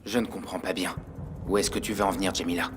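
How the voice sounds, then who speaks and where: An actor playing a calm, evasive radio manager in a French dub. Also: male voice, sits low, fast, noisy background, natural voice.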